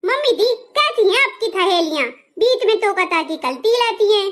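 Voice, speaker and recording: A woman's voice: very high-pitched, sing-song, normal speed, fairly bright tone, exaggerated voice. A woman voicing a chatty, cheeky young new bride with a childlike lisp, in a comic family cartoon skit. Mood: indignant. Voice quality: childlike lisp, baby-talk consonants.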